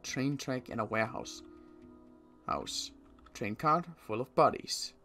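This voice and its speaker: A man roleplays a suspicious male survivor in a zombie game, talking to himself. His pitch moves in lively swings as he stammers and repeats words. Faint music plays underneath. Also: slow, exaggerated voice. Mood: pondering, muttering. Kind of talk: improvised game roleplay monologue.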